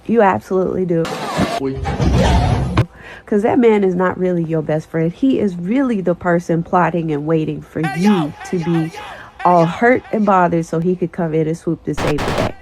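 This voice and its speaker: A woman's voice: lowish voice, moderate pace. A woman giving frank, emphatic relationship opinions in a blunt monologue. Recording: noisy recording.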